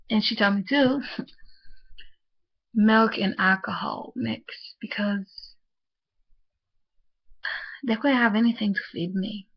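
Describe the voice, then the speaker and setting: Soft-spoken young woman from Haiti. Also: medium pitch, husky. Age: young adult. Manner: soft-spoken, halting, many fillers and restarts. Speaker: vlogger. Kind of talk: personal storytelling vlog. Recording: muffled lo-fi audio.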